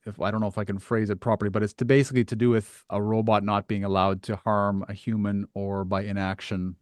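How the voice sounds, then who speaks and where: A man's voice: fairly low pitch, little pitch movement, quick pace, a little mellow, dry recording, studio quality. A man hosting a casual interview, thinking aloud and phrasing his questions tentatively. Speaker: podcast host, interviewer.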